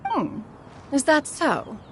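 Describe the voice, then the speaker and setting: A woman's voice: high voice, wildly swooping pitch, slow pace, background noise, heavily acted. A woman voicing a haughty, dignified immortal bird spirit in human form in an anime-style video game, speaking in formal, archaic phrasing. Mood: decisive. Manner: formal, archaic, imperious, refers to self as one. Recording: music underneath. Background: music.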